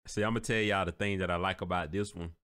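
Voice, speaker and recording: A man's voice: sits low, fast talker, smooth. A man hosting a reaction video, talking casually and rambling a little as he praises what he watched. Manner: relaxed, rambling, repeats himself, admiring. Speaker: reaction channel host.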